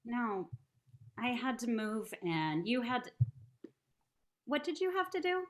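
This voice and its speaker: A woman plays a sitcom love interest on an awkward date. Her voice sits a little high, and her delivery is natural, hesitant and flirty. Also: big pitch swings, measured pace. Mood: teasing, nostalgic. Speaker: actor in a sitcom table read. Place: indoors, on a video call.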